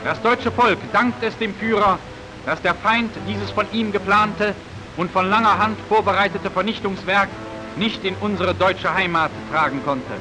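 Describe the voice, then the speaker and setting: A man's voice: high voice, normal speed, low-quality recording. A man narrating a 1940s wartime propaganda newsreel in a formal, declamatory manner, on an old film soundtrack. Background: music.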